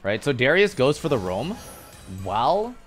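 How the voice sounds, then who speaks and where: A man casually explaining his gameplay to viewers as he plays, relaxed and instructive. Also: medium pitch, moderate pace, soft background music. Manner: instructive, relaxed, talks over his own play.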